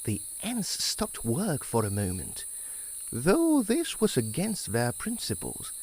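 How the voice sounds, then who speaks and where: A man narrating fables as a guest storyteller in an expressive storybook style. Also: medium pitch, takes it slowly, slightly rough, poor audio.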